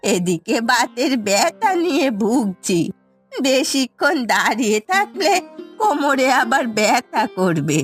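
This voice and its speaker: A high, very animated cartoon voice from a woman of an old village grandmother. She speaks slowly and dramatically, querulous and suspicious, with a scolding edge. Faint music plays behind her. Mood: self-pitying. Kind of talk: Bengali cartoon voice acting.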